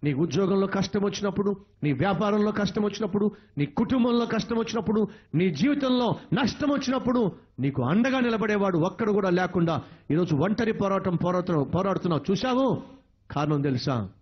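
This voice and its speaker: A man preaching a sermon, emotional and repetitive, with dramatic swings in pitch. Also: expressive, fairly quick, breathy edge, muffled recording.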